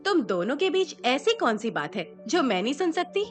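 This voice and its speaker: Haughty young cousin, high-pitched, highly animated, gushing, sharp-tongued, audio drama. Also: female voice, normal speed, faint music behind. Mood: spiteful, mocking. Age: young adult. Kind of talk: Hindi audio drama acting.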